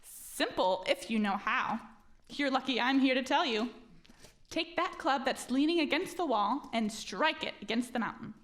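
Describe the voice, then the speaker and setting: A woman plays a clever young maid with a high voice that bounces widely in pitch. She is knowing, brisk and confident, coaching eagerly in radio play style. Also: lively intonation, fairly bright tone. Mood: urgent coaching.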